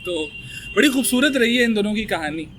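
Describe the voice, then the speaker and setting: Male chat host, high voice, bantering, noisy room. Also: animated, fairly quick. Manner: easygoing, bantering, laughs often. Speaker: podcast host, channel owner. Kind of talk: casual chat. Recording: noisy background.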